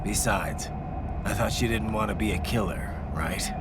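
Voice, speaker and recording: A man playing a hardened, grim, defiant cyborg mercenary in a video game cutscene, with a gravelly, breathy growl. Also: lowish voice, normal speed, background noise, lightly acted. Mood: stern. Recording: loud music behind.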